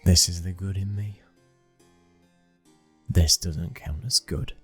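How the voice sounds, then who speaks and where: A British man playing a brooding, dominant young mafia boss's son in an intimate ASMR roleplay, speaking with slow, commanding control. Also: low voice, steady tone, husky, faint music behind, exaggerated voice. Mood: guarded, frank.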